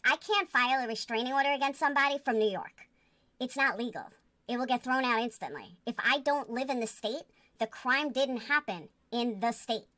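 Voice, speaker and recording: A woman's voice: a little high, a bit bright, no room sound. A woman giving casual, opinionated livestream commentary in a blunt, emphatic and self-assured manner. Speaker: online commentator.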